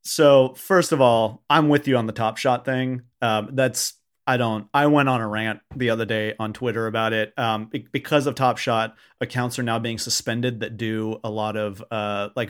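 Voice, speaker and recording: A man's voice: mid-range voice, fairly even intonation, moderate pace, clean tone. Man chatting casually on a sports podcast. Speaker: podcast host.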